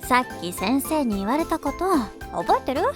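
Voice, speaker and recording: A woman playing a teasing, sensible high school girl in a Japanese anime-style voice drama, expressive and easily exasperated. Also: normal speed, loud music behind the voice.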